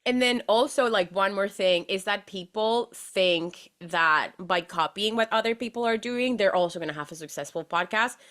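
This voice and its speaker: A young woman from Venezuela, a podcast manager, chatting as a guest in an animated way with fillers and restarts. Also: mid-range voice, normal speed, few pauses. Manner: animated, laughs often, many fillers and restarts. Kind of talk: casual interview chat.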